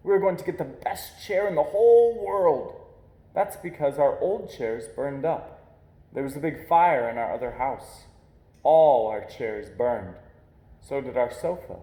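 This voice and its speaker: Higher-voiced man reading a storybook, roomy echo. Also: warm tone. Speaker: reader of a children's picture book. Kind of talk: storybook read-aloud, expressive narration. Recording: echoey, roomy sound.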